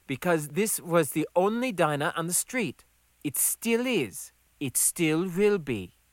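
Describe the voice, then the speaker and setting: A man's voice: fairly high pitch, big pitch swings, slow, no echo, pitched above the performer's own voice. A man voicing a confident, needling woman in an audiobook, with a firm, teasing and insistent delivery. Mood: challenging. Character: confident, needling adult woman, longtime diner friend.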